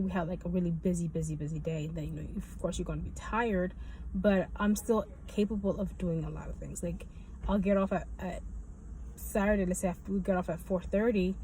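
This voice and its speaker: A woman's voice: medium pitch, dark tone, rough recording. A woman talking casually in a personal vlog update, rambling and repeating her phrases as she goes. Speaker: video blogger giving a health update. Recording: faint background noise.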